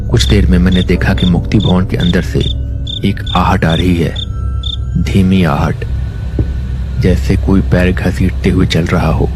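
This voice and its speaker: Deep voiced guy telling a spooky story, slow build, loud music under his voice. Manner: steady, suspenseful. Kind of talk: first-person horror story narration. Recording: narration over loud music.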